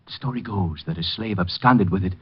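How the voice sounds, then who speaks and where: An actor playing a jumpy, frightened little man in a nightshirt, nervous and excitable in a 1950s radio drama, on a muffled old recording with music. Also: deep voice, fairly quick, husky. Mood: earnest. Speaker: client.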